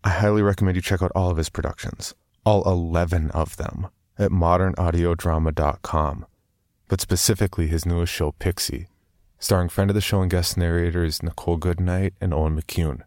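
A man's voice: low voice, fairly even intonation, a little fast, darkish tone, no room sound, studio quality. A man reading scripted announcements as a podcast host.

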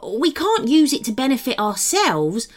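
A woman's voice: sits high, big pitch swings, a little slow, heavily acted. A woman voicing a cheerful, boastful little male gnome in a children's story, squealing and eager to persuade. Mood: eager persuading.

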